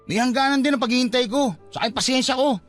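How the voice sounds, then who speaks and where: A man's voice: very high voice, fast, faint music behind. An actor playing a hurt, fed-up young father in an emotional, reproachful Filipino radio drama style. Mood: agitated, bitter. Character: hurt, fed-up young father giving up on his unrequited love.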